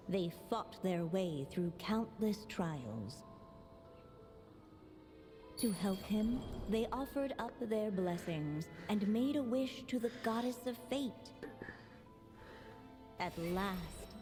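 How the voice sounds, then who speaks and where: A woman playing a measured fairy-tale narrator in a video game, solemn and deliberate. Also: medium pitch, lively intonation. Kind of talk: scripted storybook narration. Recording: game music behind. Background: game music.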